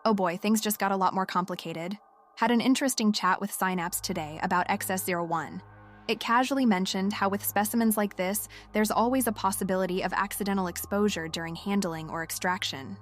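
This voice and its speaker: Female scientist played by an actress, audio-drama diary: intense, confiding, hesitant, fixated yet scared, soft music bed. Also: mid-range voice, a little fast, natural voice. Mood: uneasy. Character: obsessed, frightened woman scientist keeping a lab log. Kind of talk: dramatic monologue as spoken diary. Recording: music under the voice.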